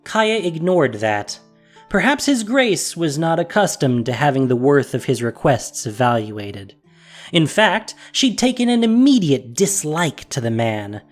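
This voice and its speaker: Wry storybook narrator, mid pitch. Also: big pitch swings, measured pace, soft background music.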